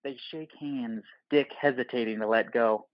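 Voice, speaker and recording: A man's voice: medium pitch, moderate pace, dry recording, dull audio. A man narrating an audio play, reading stage directions in terse screenplay phrases.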